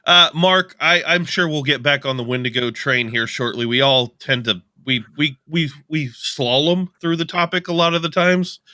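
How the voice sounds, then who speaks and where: A man's voice: a little high, normal speed, hardly any pauses, pushed and bright, no room sound, clean studio sound. A man co-hosting a livestream in casual, informal chat with a joking streak. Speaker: podcast co-host.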